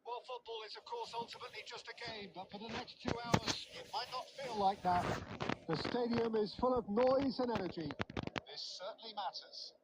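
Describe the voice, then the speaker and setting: An eloquent football commentator in a video game, with a high-pitched voice. He calls play in polished, formal phrases and is quick to get excited. The audio is noisy, with clicking over it. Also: exaggerated voice. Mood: building anticipation. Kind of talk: scripted live football commentary.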